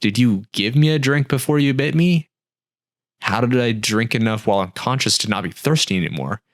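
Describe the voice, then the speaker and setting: A man's voice: mid-range voice, brisk, no room sound, studio quality, natural voice. A man playing a parched, bewildered desert castaway. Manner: bewildered, questioning, pleading. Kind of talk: storybook reading.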